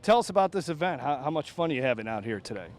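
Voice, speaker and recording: A man interviewing people on site for a local broadcast outdoors at a youth track meet, upbeat and quick with follow-up questions. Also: a little high, big pitch swings. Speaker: sports event interviewer.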